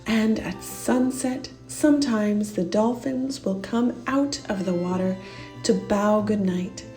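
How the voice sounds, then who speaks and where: A woman's voice: medium pitch, slow, mellow, faint music behind, close to an everyday voice. A woman voicing a fond old grandmother who reminisces in a children's storybook. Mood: dreamy, fond. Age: older. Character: warm old grandmother from a Caribbean island. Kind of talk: children's storybook character reading.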